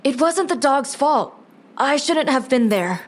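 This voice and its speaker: A woman's voice: sits high, lively intonation, unhurried. A woman playing an apologetic, evasive twelve-year-old boy with a meek manner in an audio drama.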